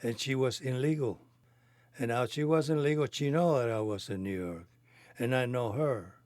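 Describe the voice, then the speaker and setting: A man in his eighties from Cuba, a native Spanish speaker with a Cuban accent, recounting his life in halting, non-native phrasing. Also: medium pitch, darkish tone, no room sound. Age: 80s. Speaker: interviewee telling his life story. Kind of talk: reminiscing conversation.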